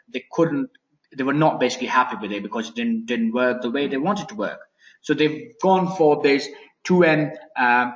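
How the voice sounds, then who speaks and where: A man giving an informal technical product presentation as a webinar presenter, explaining in a halting, repetitive way with frequent restarts. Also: mid-range voice, fairly bright tone. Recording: dull, lo-fi webinar audio.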